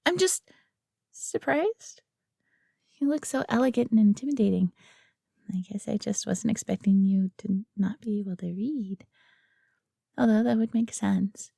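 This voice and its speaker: A woman's voice: medium pitch, unhurried, vocal fry, pauses often, mellow, husky, no room sound, clean studio sound. A woman playing a friendly, nervous fox companion in a fantasy audio roleplay, speaking to the listener in a hesitant, chatty way. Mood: awkward, kind.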